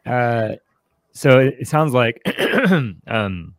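A man's voice: medium pitch, lively intonation, dry recording, clean studio sound. A man co-hosting a podcast, talking casually through a book in a rambling, searching way and sometimes voicing imagined quotes. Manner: rambling, halting, searching for words; acts out imagined quotes in conversation. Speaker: podcast co-host. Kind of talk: casual book commentary discussion.

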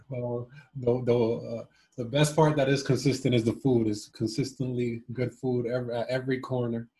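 A man in his twenties from Cuba and Miami, chatting casually and thoughtfully as an interview guest, pausing as he searches for words. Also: medium pitch, level tone, mellow, slightly rough, rough recording. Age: 20s. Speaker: interview guest, former basketball player. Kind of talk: casual reflective interview chat.

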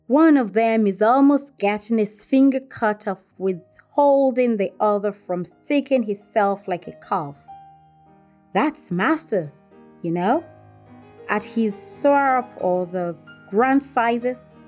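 Audiobook role: gloating rustic old manservant, preachy, slow drawl. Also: female voice, a little high, darkish tone, soft background music. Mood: gloating, sour.

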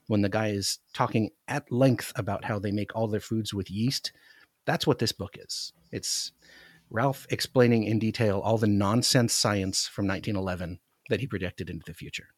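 An American man speaks in a fairly low voice with very little room around it. He sounds like a podcast guest in casual conversation, and the recording is dry and close. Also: no room sound. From United States, Alaska. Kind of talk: casual chat.

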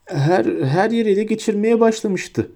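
A man voicing a sniveling, terrified middle-aged traitor who begs and stammers in a high, whining voice. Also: expressive, moderate pace, mellow. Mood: desperate.

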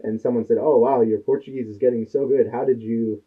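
A man's voice: mid-range voice, steady tone, brisk, breathy edge. A man co-hosting a podcast in casual chat, telling anecdotes and quoting others as he goes. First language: English. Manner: chatty, tells anecdotes, quotes others in his stories. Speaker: podcast co-host. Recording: tinny phone-like sound.

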